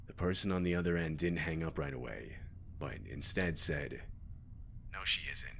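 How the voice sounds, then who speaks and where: A man's voice: rumbling low, moderate pace, creaky, fairly bright tone, a little hiss or hum. A man reading scripted scary stories as a narrator, with music behind him. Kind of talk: scripted horror story narration. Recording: music bed under the voice. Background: background music.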